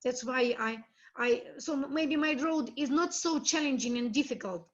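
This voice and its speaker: A high-voiced woman teacher who speaks as a talks earnestly, searching for her words. The audio is dull, like an online call. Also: moderate pace. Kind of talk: casual discussion talk.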